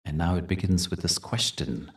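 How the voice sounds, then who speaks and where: A man with a deep, low voice leads a guided meditation. He speaks gently and deliberately, pausing for effect as he tells stories and soothes the listener. His voice sits a little distant from the microphone. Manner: gentle, deliberate, soothing delivery with dramatic pauses. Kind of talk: guided meditation talk with storytelling.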